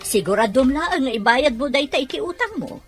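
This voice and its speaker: A woman playing a patient but exasperated landlady in a Filipino radio drama, with a husky voice. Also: high-pitched, animated, fairly quick, faint music behind, heavily acted. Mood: scolding.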